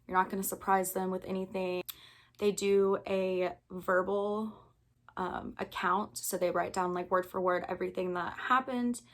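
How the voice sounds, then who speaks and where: A woman's voice: mid-range voice, flat delivery, moderate pace. A woman in her early twenties with an American accent, talking candidly and earnestly in an informal, conversational way, as in a personal video. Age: early 20s.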